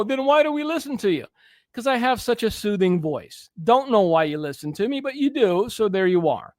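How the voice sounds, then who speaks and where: High-voiced man preaching on air. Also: dry recording. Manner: earnest, preachy, with stammered restarts. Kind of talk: preaching commentary.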